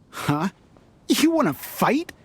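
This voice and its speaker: A woman's voice: medium pitch, big pitch swings, drawn-out words, husky, exaggerated voice. A woman voicing a resentful, blunt, confrontational townsperson in a video game. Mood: irritated, defiant. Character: fed-up, resentful ordinary townsperson, blunt and defiant.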